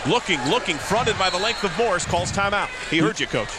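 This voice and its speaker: A man's voice: high voice, expressive, brisk, a crowd in the background. A man calling live basketball play-by-play in clipped, descriptive phrases. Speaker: play-by-play announcer. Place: courtside at a basketball arena.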